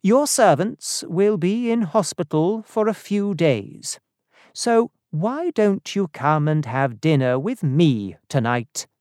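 A narrator voicing a concerned male doctor in an audiobook story, formal and measured. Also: mid-range voice, animated, darkish tone, dry recording, studio quality, voice pushed up.

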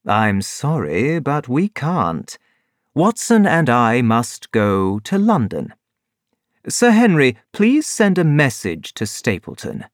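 A reader voicing a composed male detective who explains and gives orders in measured tones. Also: mid-range voice, no room sound, clean studio sound. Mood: calm. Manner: calm, measured, authoritative. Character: calm, commanding male detective.

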